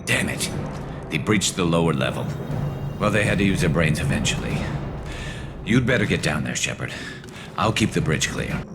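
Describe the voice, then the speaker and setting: An actor voicing a battle-weary, wry alien sniper in a video game, with a gravelly, flanged voice and clipped military talk. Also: male voice, low-pitched, animated, moderate pace, long pauses. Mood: urgent, focused. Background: game music.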